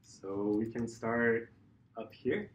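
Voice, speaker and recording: A young man speaks informally to a group as the leader of a student club. His medium-pitched voice is picked up from a distance in an echoey room with faint noise. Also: slow pace. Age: young adult. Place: meeting room, indoors. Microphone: distant table-level camera mic.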